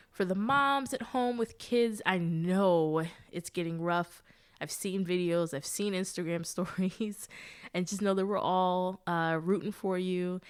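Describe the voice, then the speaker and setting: A woman's voice: on the low side, smooth. A woman hosting a solo podcast, talking to listeners like an old friend. Her voice sounds nasal and stuffed up from blocked sinuses. The delivery is chatty and easygoing, with friendly asides and easy laughs. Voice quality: nasal from allergies. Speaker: podcast host. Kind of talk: casual solo podcast chat.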